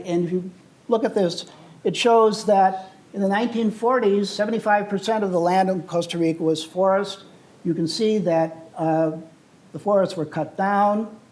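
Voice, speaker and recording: An older man from the United States presents to an audience in an earnest, explanatory way, walking through slides. His voice sits high for a man. He often pauses on "uh" and restarts his phrases as he goes. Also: a little mellow. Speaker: presenter on travel and sustainability. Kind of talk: public talk with slides.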